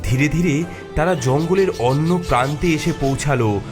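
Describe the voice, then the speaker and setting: A man's voice: on the high side, moderate pace, music in the background. A man narrating a children's fairy tale in a dramatic, scripted storytelling style.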